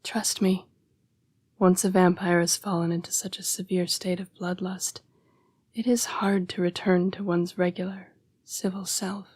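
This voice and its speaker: A woman performs a remorseful female vampire battling bloodlust in an intimate audio roleplay. Her voice is extremely breathy and often whispered, slow and formally worded, in a close, dry recording. She is protective yet predatory. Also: medium pitch, no echo. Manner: formal, halting, hushed delivery. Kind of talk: intimate scripted audio roleplay addressed to the listener.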